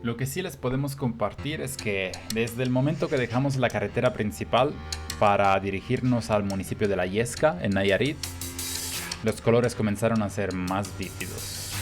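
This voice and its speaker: Male narrator for a travel vlog, somewhat low voice, calm, measured, over music.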